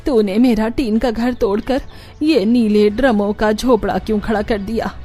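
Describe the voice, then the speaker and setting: A woman's voice: fairly high pitch, fairly even intonation, moderate pace, mellow, soft background music, lightly acted. A woman voicing an indignant older mother-in-law, exclaiming and scolding dramatically in a cartoon moral story.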